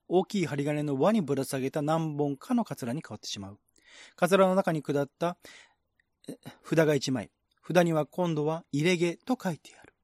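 Male narrator for a literary radio drama: fairly high voice, measured descriptive delivery, dry recording. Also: darkish tone, no echo. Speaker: narrator, reader.

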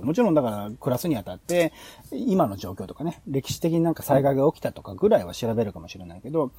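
A man's voice: mid-range voice, dark tone, breathy edge, no room sound. A man on a podcast in casual brainstorming talk, explaining his ideas in long, run-on clauses. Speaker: podcast host.

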